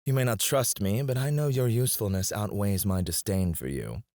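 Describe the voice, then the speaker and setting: Man acting a haughty, low-voiced fantasy alchemist. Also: normal speed, a little mellow, dry recording, studio quality, close to an everyday voice. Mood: cool disdain. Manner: haughty, formal, theatrical delivery. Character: cocky, disdainful male royal alchemist, sharp-witted and proud. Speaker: voice actor in audio roleplay. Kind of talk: scripted ASMR fantasy roleplay acting. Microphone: close, dry studio mic.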